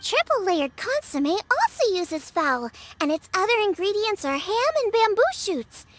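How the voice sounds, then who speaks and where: A bubbly young chef in a game cutscene. Her very high voice bounces lively up and down, with game music playing behind her. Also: a little slow, heavily acted. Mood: proud. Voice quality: bright, bubbly and eager. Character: young female chef, upbeat and encouraging. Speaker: chef, contestant.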